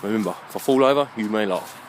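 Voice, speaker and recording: Musing man, noisy outdoor recording. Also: fairly low pitch. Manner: musing, halting, self-questioning. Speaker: vlogger. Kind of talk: rambling walk-and-talk monologue. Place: outdoors, walking along a muddy alleyway.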